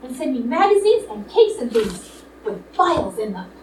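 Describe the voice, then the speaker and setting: A flippant young bride, acted by a woman in an old-fashioned comic radio play. Her voice is very high and swings widely, with a wry, theatrical delivery. The recording is distant, with clear background noise. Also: very animated, moderate pace. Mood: wry, smitten. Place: live radio play performance in a room. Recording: distant echoey live stage, clearly noisy.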